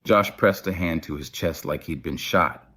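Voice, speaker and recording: A man with a deep voice narrates an audio story. He reads prepared prose as the storyteller between lines of dialogue. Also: normal speed, fairly bright tone.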